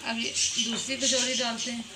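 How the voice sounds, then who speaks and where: A woman, calmly explaining a home cooking recipe step by step while she works. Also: a little high, normal speed. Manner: calm, instructive, talks while working with hands. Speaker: home cooking channel host. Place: home kitchen. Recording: loud background noise, birds chirping.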